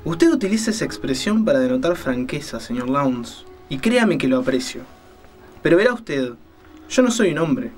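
A man playing a delusional kidnapper in an audio drama, speaking formally and deliberately with mocking politeness. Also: a little high, big pitch swings, darkish tone, faint music behind. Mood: controlled menace. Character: menacing male kidnapper, a delusional killer, coldly courteous.

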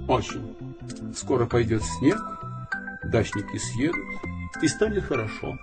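An actor playing a grandiloquent fly gentleman who relishes decay, declaiming pompously in an audio drama. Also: medium pitch, very animated, a little slow, loud music behind the voice. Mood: wry.

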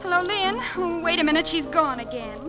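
A very high-voiced teenage girl in an old radio drama, impatient and whiny. Her delivery swoops in exasperated pleading. It sounds like a vintage 1940s broadcast. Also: expressive, a little fast, over loud music. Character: impatient, whiny sixteen-year-old girl fretting over a dress.

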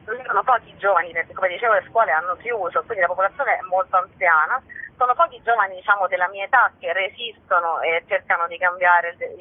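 A woman giving an informal, chatty radio interview as a festival organiser, explaining things conversationally. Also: medium pitch. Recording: muffled narrow phone line on radio.

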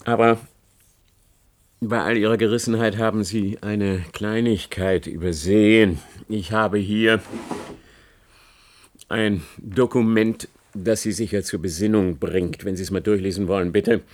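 A man's voice: lowish voice, fairly even intonation, a little slow, lightly acted. A man playing a cornered, scheming middle-aged university professor in a German radio play, speaking in formal, dramatic phrases. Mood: slyly controlled. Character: cornered, scheming male university professor, pleading yet indignant.

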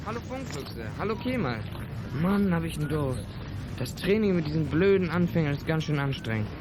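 A man's voice: on the high side, animated, brisk, lightly acted. A man playing a cocky, sporty schoolboy in a youth radio play, with a breezy, blustering, offhand manner. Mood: boastful. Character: Bomber, cocky, sporty, broad-shouldered schoolboy braggart. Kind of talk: 1980s German youth radio play acting. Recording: music and street ambience behind dialogue.

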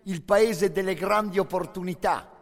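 An actor playing a pompous, menacingly courteous German boxing official of the Nazi era in a theatrical radio monologue, with drawn-out emphatic delivery. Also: male voice, sits high, pitched above the performer's own voice. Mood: unctuous flattery. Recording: long hall reverb.

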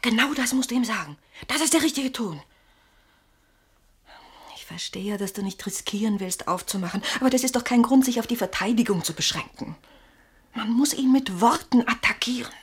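An actress in a German radio play, playing a bold, insistent woman with a breathy, husky voice and theatrical delivery. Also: on the high side, lively intonation, fairly quick. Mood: urgent, insistent. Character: bold, insistent woman urging her frightened companion on.

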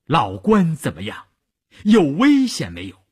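A man's voice: deep voice, moderate pace, slightly rough. A man playing a stern senior army commander in an audiobook, imperious and insistent with a subordinate. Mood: stern worry. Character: stern male column commander threatening a subordinate by telephone.

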